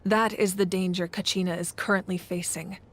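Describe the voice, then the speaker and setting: A woman playing a composed, authoritative war deity and leader in a video game, speaking in a measured, serious way. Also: lowish voice, steady tone, lightly acted. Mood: grave, explanatory. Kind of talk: video game character acting. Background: music.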